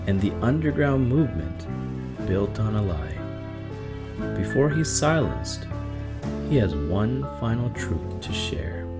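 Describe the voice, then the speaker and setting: A man announcing the opening of a story series in a slow, deliberate, scripted way. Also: fairly low pitch. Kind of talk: scripted show intro. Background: guitar music.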